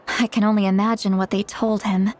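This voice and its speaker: A woman's voice: medium pitch, little pitch movement, brisk, darkish tone, slight background noise, close to an everyday voice. An actress playing a young woman warrior in an English anime game dub, with an earnest, candid and sincere delivery. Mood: somber, regretful.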